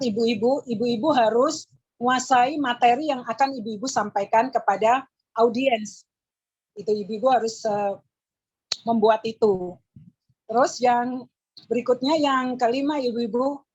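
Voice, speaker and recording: A woman's voice: fairly high pitch, no room sound, dull audio. An Indonesian woman with an East Javanese accent teaches public speaking to a group of women over a video call, going through numbered tips and addressing them as ladies; her throat is tired and strained. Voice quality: husky. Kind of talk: teaching an online class for women.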